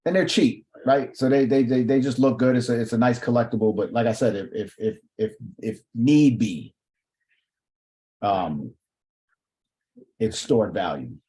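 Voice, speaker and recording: A man hosting a live question-and-answer chat in a loose, slangy way, swearing freely as he talks. His voice sits at an ordinary middle pitch. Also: unhurried, pauses often, warm tone. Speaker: host. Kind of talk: casual livestream chat.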